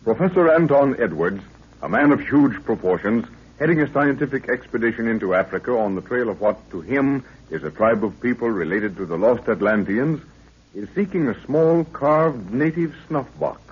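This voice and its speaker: A man's voice: medium pitch, expressive, normal speed, breathy edge. A man narrating a 1930s radio serial in a solemn, melodramatic, declamatory way. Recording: muffled old 1930s radio recording.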